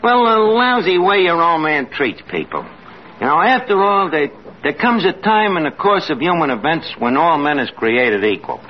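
An actor playing a wisecracking, uneducated barkeep with a New York accent and a folksy, mock-lofty manner in old radio comedy. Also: male voice, on the high side, sing-song, normal speed. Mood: grumbling, mock-lofty. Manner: folksy wise guy, ungrammatical, mock-lofty. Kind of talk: 1940s-50s radio comedy acting. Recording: dull, very noisy old radio recording.

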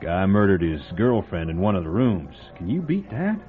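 An actor playing a chatty, folksy small-town diner owner who relishes telling a gruesome local story, in old-time radio drama style. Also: male voice, low voice, big pitch swings, moderate pace. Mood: gossipy. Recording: phone-like old radio broadcast with faint music.

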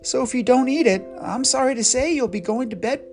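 A gentle mother from a children's storybook, put on by a woman reader, with a raised, sweet voice. She coaxes softly and apologizes kindly, and her pitch moves in a lively way. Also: moderate pace, soft background music. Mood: coaxing. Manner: soft, coaxing, apologetic. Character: gentle, patient young mother. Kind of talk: storybook character voice.

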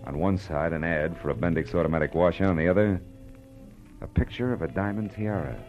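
Hardboiled detective, low voice, wry, clipped, sardonic, vintage radio crime drama, music behind. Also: male voice, highs cut off. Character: tough, wry male private detective. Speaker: lead character and narrator.

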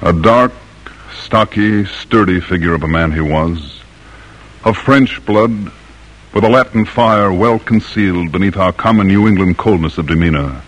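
An actor playing a grave, eloquent colonial-era doctor and patriot in an old radio drama, speaking in a measured, solemn way. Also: male voice, low voice, low-quality recording. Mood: solemn reminiscence.

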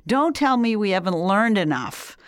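A woman in her sixties with a Boston accent, speaking as an interview guest in an emphatic, animated way with frequent laughs. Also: medium pitch, moderate pace, no room sound, studio quality. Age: 60s. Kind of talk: energetic conversational interview.

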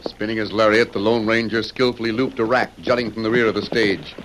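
A man's voice: fairly low pitch, steady tone, fairly quick, fairly bright tone, a little hiss or hum, poor audio. A man giving dramatic, formal scripted narration as the announcer of an old-time radio western. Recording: old radio broadcast recording. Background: music.

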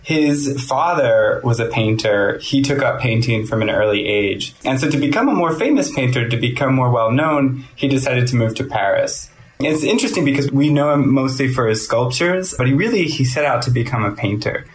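A young man, an assistant editor, giving a lively spoken primer on a sculptor in a podcast conversation. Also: mid-range voice, moderate pace, poor audio. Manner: lively, explanatory, with fillers. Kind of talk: explanatory conversation about art.

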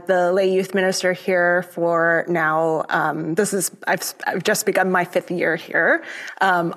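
Thoughtful young woman, lowish voice. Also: a bit bright. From born in Hong Kong, raised in Florida. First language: Cantonese. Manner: thoughtful, with many ums and pauses. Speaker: lay youth minister, guest. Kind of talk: interview conversation.

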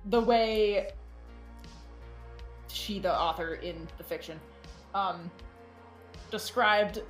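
Informal female podcast guest with average pitch, frank and halting, recorded with noticeable room echo. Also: faint music behind. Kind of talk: casual chat.

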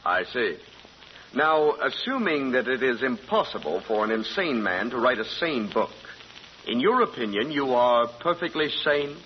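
An actor playing a formal, patient male judge with a measured, courteous manner in a 1940s radio drama. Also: mid-range voice, big pitch swings, natural voice. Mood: formal, probing. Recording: muffled, hissy 1940s radio broadcast.

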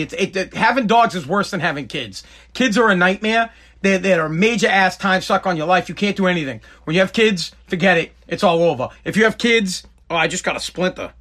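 A man rants on his own live podcast, blunt and profane, repeating himself as he goes. His voice sits high for a man. It has a bright, forceful edge. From Boston area, New England. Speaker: podcast host. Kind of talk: live-streamed podcast rant.

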